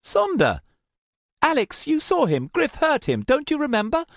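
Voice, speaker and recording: A man's voice: very high voice, huge pitch swings, normal speed, creaky, dry recording, studio quality, pitched above the performer's own voice, full character voice. A man voicing an earnest, anxious young woman who keeps asking worried questions. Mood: pleading.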